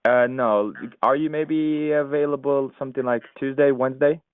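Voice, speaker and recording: A man from New York making a polite, smooth sales call, heard over a phone recording. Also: medium pitch, fairly even intonation, normal speed, no echo. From New York, USA. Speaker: cold caller. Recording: tinny phone-line recording.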